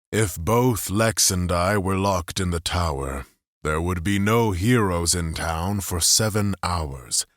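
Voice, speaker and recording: A massive, stoic warrior in plate armor, weary with duty, speaks in grave, measured words, as a male narrator voices him. His voice is low and slow, recorded dry and close. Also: no room sound. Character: huge stoic armored man, weary leader.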